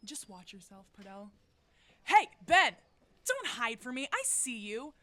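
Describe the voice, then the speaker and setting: An actress playing a snide, bossy teenage cheerleader who mocks and taunts in an audio drama. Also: female voice, on the high side, very animated, unhurried, pushed and bright, full character voice. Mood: mocking. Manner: mocking, taunting. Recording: busy crowd chatter around the voice. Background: crowd in a school hallway.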